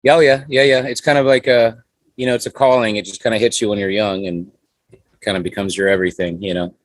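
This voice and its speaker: A man's voice: fairly low pitch, steady tone, fast talker, no echo. A man from California, a musician guest on a podcast, talking in a laid-back, rambling way with lots of fillers. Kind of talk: casual interview chat.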